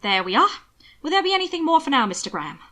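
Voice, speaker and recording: A woman's voice: high-pitched, sing-song, quick pace, bright, forceful tone, pitched above the performer's own voice, exaggerated voice. A woman plays a male valet in an audiobook. He is deferential and attentive to his master. His manner is kindly and reassuring. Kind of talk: audiobook character dialogue.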